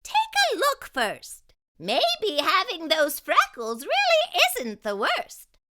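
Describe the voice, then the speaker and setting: Woman as a high, swooping storybook fairy. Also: huge pitch swings, slow, bright, forceful tone, exaggerated voice. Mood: encouraging. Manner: sing-song, reassuring, theatrical. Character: graceful kindly magic fairy, gently encouraging, storybook.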